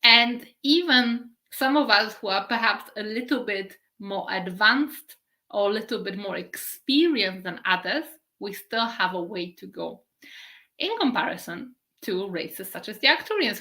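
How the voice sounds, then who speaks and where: A middle-aged woman gives a spiritual talk on a live stream, slowly drawing out key words. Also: mid-range voice, sharp tone. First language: Polish. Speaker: spiritual teacher.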